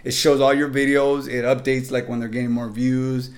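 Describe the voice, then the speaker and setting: A man's voice: mid-range voice, flat delivery, normal speed. A man with a Mexican accent, a podcast guest giving upbeat, joking tips straight to the listeners. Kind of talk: casual podcast chat.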